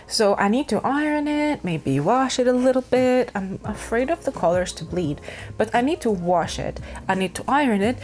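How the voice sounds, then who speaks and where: A woman's voice: fairly high pitch, expressive, moderate pace, darkish tone. A woman from Ukraine chatting casually to camera about her craft projects, rambling with many fillers and restarts. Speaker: craft vlogger.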